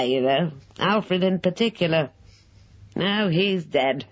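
A male narrator playing a gleeful, spiteful bedridden old man who crows and laughs in a high whinny, on a dull lo-fi recording. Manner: high whinnying cackle, grumbling.

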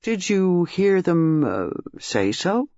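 A man's voice: high-pitched, big pitch swings, slow, darkish tone, dry recording, heavily acted. A man voicing a benign, hearty police superintendent who speaks steadily. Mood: calm. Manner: hearty, then grim. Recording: dull, dry lo-fi recording.

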